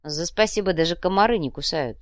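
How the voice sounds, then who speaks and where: A woman's voice: lowish voice, fairly quick, no echo, lightly acted. A woman voicing a shrewd, money-minded male trader with a dry, teasing manner in an audiobook. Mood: calm, wry. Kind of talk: audiobook character voice.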